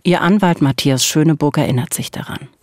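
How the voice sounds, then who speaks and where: A woman's voice: low-pitched, fairly quick, darkish tone, dry recording. Woman podcast host, dry sound. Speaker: true-crime podcast host. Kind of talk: conversational scripted podcast narration. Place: small dark podcast studio. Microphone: close studio microphone with headphones.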